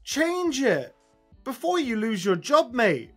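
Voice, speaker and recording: A man in his thirties giving a blunt, emphatic, exasperated fan reaction to camera after a football match, with a husky voice. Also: very high voice, big pitch swings, slow, fairly bright tone, faint music behind. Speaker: football fan channel host. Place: small room at home, indoors.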